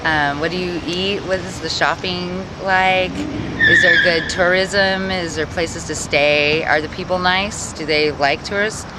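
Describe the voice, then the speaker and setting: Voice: medium pitch, steady tone, a little slow. A speaker in a street interview curiously rattling off questions. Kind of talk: casual street interview answer. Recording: loud street noise behind.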